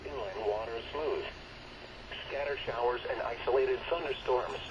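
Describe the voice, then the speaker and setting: A man's automated-sounding voice reading a scripted marine weather bulletin over the radio in a flat, clipped way. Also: medium pitch, moderate pace, background noise. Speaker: weather radio forecast announcer. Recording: dull small radio speaker, chatter over it.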